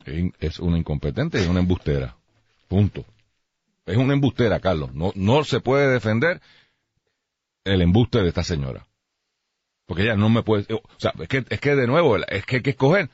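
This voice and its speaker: A man's voice: on the low side, sing-song, normal speed, long pauses, husky, no room sound. A man with a Puerto Rican accent giving blunt, emphatic political opinions as a radio host, with dull radio sound.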